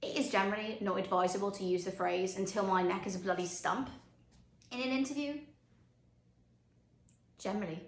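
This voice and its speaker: An unimpressed female boss acted by a woman speaks in dry, formal, dismissive phrases. She sounds quieter and bored, a stage interviewer heard in an echoing room. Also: fairly high pitch, expressive, unhurried, slight background noise. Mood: dry disapproval.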